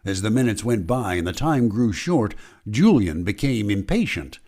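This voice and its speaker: An ominous old-time radio storyteller, acted by a man. His lowish voice moves dramatically up and down as he delivers deliberate, scripted narration. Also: very animated, darkish tone.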